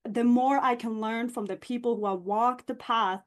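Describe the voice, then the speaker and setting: A woman's voice: a little high, fairly even intonation, moderate pace. A young woman from Norway, hosting a podcast in an open, chatty way with frequent fillers. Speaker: podcast host. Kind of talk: casual podcast conversation.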